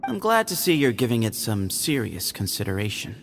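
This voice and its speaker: A man playing a shrewd, self-assured young heir to a temple leader, speaking in a measured, confident way. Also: medium pitch, very animated, vocal fry, a little hiss or hum. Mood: cool, composed.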